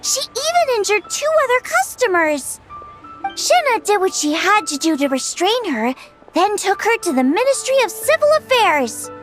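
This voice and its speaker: A very high, lively girl cook. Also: normal speed, over music. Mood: agitated. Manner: chatty, expressive storytelling. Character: lively, earnest teenage girl restaurant cook. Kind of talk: animated video game acting.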